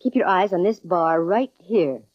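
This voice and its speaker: Wisecracking 40s radio girl detective, brisk n slangy, muffled old recording. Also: on the low side, no echo. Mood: keen, explaining. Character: wisecracking, quick-witted female private eye, confident and brisk. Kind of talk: 1940s radio detective drama acting, first-person narration. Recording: dull vintage radio recording with music.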